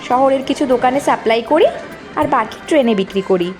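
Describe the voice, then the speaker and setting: Earnest, high-pitched young college girl. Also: expressive, fast talker, over music. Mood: polite, steady. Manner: earnest, polite, explanatory. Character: earnest, hardworking young college woman of modest means. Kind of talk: Bengali animated story dialogue.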